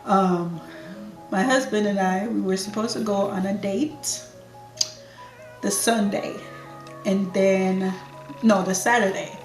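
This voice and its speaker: A woman's voice: medium pitch, fairly even intonation, slow, pauses often, echoey room, soft background music. A Jamaican woman in her thirties, chatting casually and unhurriedly through a get-to-know-me Q&A for her channel, laughing easily. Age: 30s. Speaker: lifestyle YouTuber.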